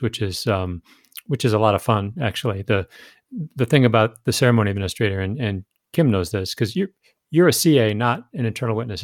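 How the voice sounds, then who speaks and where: A man's voice: lowish voice, dry recording, studio quality. A man hosting a podcast who chats casually with a guest and often restarts his sentences. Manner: chatty, with repeated restarts. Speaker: podcast host. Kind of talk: casual interview chat.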